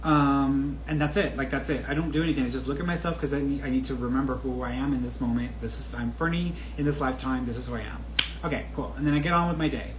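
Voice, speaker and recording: A man's voice: medium pitch, fairly even intonation, quick pace. Chatty man in an echoey room. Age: 30s. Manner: chatty and candid, with frequent restarts and laughs. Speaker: podcast host answering viewer questions. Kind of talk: casual solo talk. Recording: echoey room with faint background noise.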